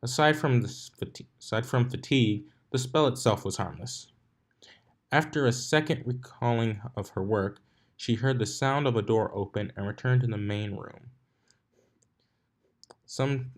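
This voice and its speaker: A man's voice: medium pitch, normal speed. A man reading fan fiction aloud as narrator, in an amateur style with occasional stumbles over the words. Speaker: fanfiction narrator.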